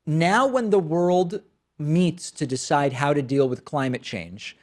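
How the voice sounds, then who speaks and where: A man's voice: a little high, slow. A man hosting a political commentary show, speaking English as a second language after growing up with Spanish. He talks in a sardonic, incredulous, emphatic way and also reads a sponsor ad. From Spanish-speaking country, raised in the United States from childhood.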